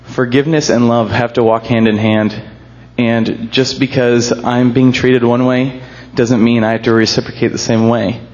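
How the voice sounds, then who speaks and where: A young college-age man with a booming voice speaks into a handheld mic in a church hall. He sounds earnest and emotional, slightly shaky, and he jokes about himself now and then. The recording is muffled and lo-fi. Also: level tone, normal speed, hardly any pauses, darkish tone. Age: young adult. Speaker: college student sharing a testimony. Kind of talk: church group testimony.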